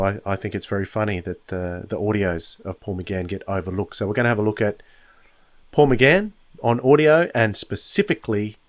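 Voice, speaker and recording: A man hosting a review podcast alone, talking casually like an enthusiastic fan. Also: lowish voice. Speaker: podcast host.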